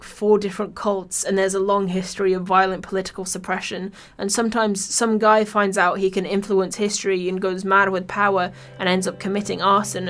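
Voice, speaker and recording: A woman's voice: medium pitch, a bit bright. A woman from Birmingham with an English accent reads a scripted video essay in a wry, informal, sweary way. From Birmingham, England.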